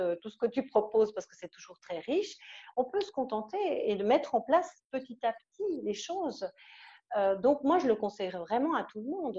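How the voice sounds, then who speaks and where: A woman from Switzerland with a Swiss French accent, giving an enthusiastic, halting testimonial full of fillers and restarts. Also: mid-range voice, a little mellow, breathy edge, highs cut off. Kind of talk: casual testimonial interview.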